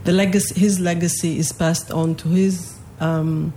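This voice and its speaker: A young adult woman from Palestine, a native Arabic speaker, answering interview questions about a festival she organizes, with many pauses and fillers. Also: on the low side, level tone, mellow, a little hiss or hum. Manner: hesitant, many fillers and restarts.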